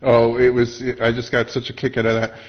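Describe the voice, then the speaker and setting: Chatty male interviewer, mid-pitched, muffled audio. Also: completely flat delivery. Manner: halting, rambling, admiring fan. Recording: dull lo-fi audio.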